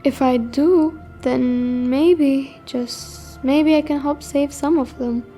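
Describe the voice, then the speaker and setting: A high, mellow girl's voice acted by a woman for a radio drama. She is a young refugee from war, burdened by guilt yet resolute, with some music underneath. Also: fairly even intonation, slow pace. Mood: guilty, resolute. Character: teenage girl refugee fleeing war, guilt-ridden and resolute. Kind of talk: amateur radio drama acting.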